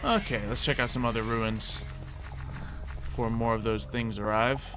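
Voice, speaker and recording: A man giving casual gameplay commentary, thinking aloud in a mild, halting way. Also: medium pitch, steady tone. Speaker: gamer playing a Minecraft roleplay series. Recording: game music behind the voice. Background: game music.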